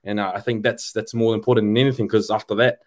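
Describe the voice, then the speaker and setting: A young New Zealand man joins a panel from home over a video call. His voice is a touch low and he talks fast. He speaks earnestly, with a lot of restarts. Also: level tone. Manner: earnest, rambling, many restarts. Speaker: panel guest. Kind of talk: casual discussion, mixing Māori and English.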